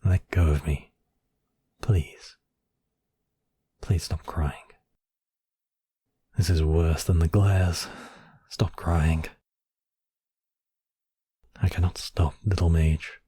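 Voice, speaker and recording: Man acting a husky, commanding villain mage. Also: low-pitched, fairly even intonation, dark tone, dry recording, studio quality, exaggerated voice. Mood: regretful, controlling. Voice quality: breathy, husky, often dropping to whispers. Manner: imperious, deliberate, addressing listener as apprentice. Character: commanding, manipulative male high mage villain, coldly possessive. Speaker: voice actor. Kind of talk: dramatic ASMR villain roleplay.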